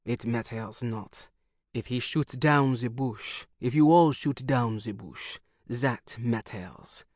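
A gruff, secretive Frenchman in a trench coat, as an audiobook narrator puts him on, speaking with a heavy French accent. His voice sits low and the words come slow and clipped. The recording is dry and close. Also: no room sound. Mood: terse. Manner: clipped, curt. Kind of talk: audiobook character voice.

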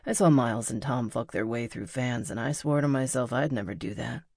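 A woman voicing a cocky, teasing young male rock musician in an audiobook, speaking in an intimate, unhurried way. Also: very deep voice, creaky, a little mellow, no room sound, clean studio sound, voice pushed down, heavily acted. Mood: guarded. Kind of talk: audiobook character dialogue.

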